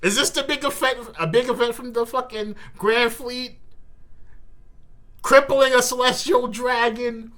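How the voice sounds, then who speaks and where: A host giving an animated, slangy live reaction in casual commentary, repeating words and exclaiming. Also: medium pitch, a little slow. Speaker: host of a manga reaction channel.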